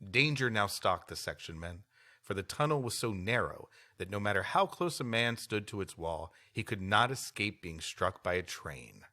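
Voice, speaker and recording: A man's voice: fairly low pitch, expressive, moderate pace, sharp tone. A man co-hosting a podcast, mixing read-aloud history with wry, rambling banter. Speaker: podcast co-host. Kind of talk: reading a history story aloud with joking banter.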